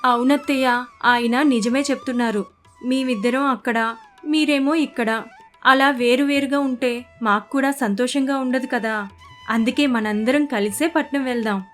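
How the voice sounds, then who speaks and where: A woman voicing a considerate young daughter-in-law in an animated story, polite, coaxing and concerned. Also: high voice, moderate pace, lightly acted. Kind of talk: animated moral story dialogue. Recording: faint music bed behind the voice.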